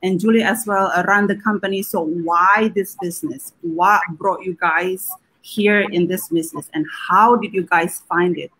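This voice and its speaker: A woman hosting a live video talk, speaking casually with many pauses and fillers. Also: lowish voice, steady tone, a bit bright, rough recording. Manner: hesitant, many ums and uhs. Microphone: video call.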